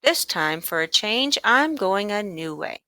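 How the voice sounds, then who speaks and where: A woman voicing an eager, polite young boy in a children's storybook, speaking in an earnest, halting way. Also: mid-range voice, very animated, pushed and bright, no room sound. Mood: decided. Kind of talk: children's storybook read-aloud.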